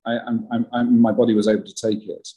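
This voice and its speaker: Rambling older man with a husky big voice. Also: medium pitch, level tone, fast, warm tone, highs cut off. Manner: rambling, self-deprecating, many restarts. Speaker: actor guest. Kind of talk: podcast interview.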